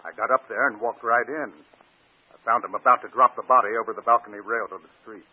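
An actor playing a shrewd, steady man exposing a killer, pointed and knowing, in 1940s radio drama style. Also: medium pitch, fairly quick, breathy edge, natural voice. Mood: explaining. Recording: narrow old radio broadcast recording.

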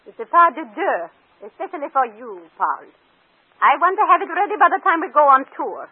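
An actress playing an imperious, vengeful former ballerina in an old-time radio drama, commanding and coolly menacing, on a tinny old recording. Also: female voice, sits high, sing-song, fairly quick, slight background noise. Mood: coolly scheming.

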